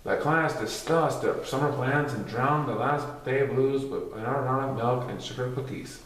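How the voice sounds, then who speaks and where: A man's voice: mid-range voice, unhurried, a bit bright. Man reading a children's story, very echoey room. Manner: stumbles over words and rereads them. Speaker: story reader. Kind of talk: children's picture book read aloud.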